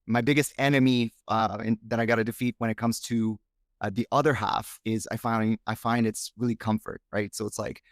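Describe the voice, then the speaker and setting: A man's voice: fairly low pitch, little pitch movement, brisk, no room sound, clean studio sound. A man from Romania, whose first language is Romanian, speaking as a podcast guest in a frank, reflective, casual interview chat. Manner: frank, reflective, thinks aloud.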